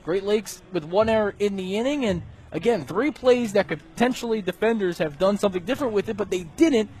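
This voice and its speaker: A man working as a radio color commentator, explaining baseball plays in a conversational way. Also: sits high. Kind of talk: baseball analysis between plays.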